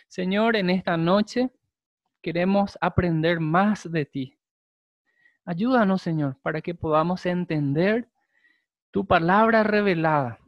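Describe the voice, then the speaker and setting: Man preaching, Guarani speaker, unhurried, dry close sound. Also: sits high, no room sound. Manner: slow, deliberate, emphatic repetition. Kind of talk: sermon with prayer.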